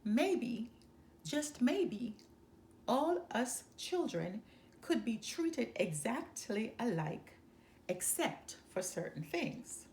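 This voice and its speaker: A woman's voice: a little high, big pitch swings, very slow, darkish tone, slight background noise, heavily acted. A woman voicing a reasonable, tentative ten-year-old boy in a children's storybook, careful and persuasive. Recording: roomy, echoing sound.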